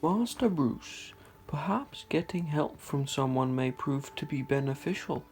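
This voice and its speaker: A man playing a formal, caring, dutiful butler in an amateur superhero radio play, speaking in a measured manner. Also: on the high side, animated, a little mellow, soft background music. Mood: concerned.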